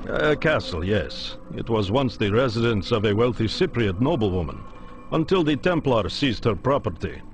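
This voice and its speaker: An actor playing a shrewd, obliging resistance contact with a confiding, deliberate manner in a video game cutscene. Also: male voice, fairly low pitch, expressive, a little hiss or hum. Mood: informative. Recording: faint music behind the voice.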